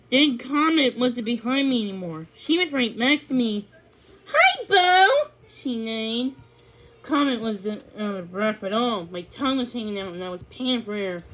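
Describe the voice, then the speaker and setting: A woman's voice: fairly high pitch, fairly bright tone, rough recording. A woman narrating a scripted children's story as a read-along.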